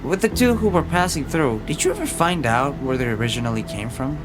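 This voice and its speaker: An actor playing a dry-witted, defiant junior detective in an audio roleplay drama, quick with wry retorts. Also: male voice, medium pitch, expressive, close to an everyday voice. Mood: thoughtful. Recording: music behind the voice.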